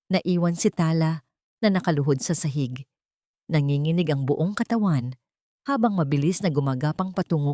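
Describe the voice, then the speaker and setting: A woman narrating a scripted dramatic story in a measured, theatrical storytelling manner. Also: deep voice, mellow, no room sound, studio quality.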